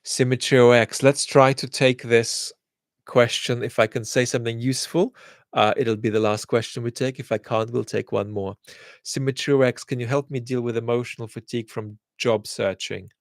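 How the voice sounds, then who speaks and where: A man answering viewer questions in a casual chat, speaking in a thoughtful, measured way with frequent restarts. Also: mid-range voice, fairly even intonation, no room sound, studio quality. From England.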